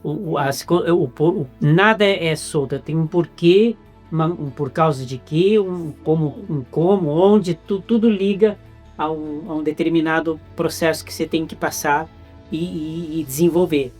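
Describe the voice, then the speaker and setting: A woman's voice: low voice, unhurried, a little mellow, breathy edge, faint music behind. A woman with a Brazilian accent doing a casual, chatty interview, often repeating words as she searches for the phrase.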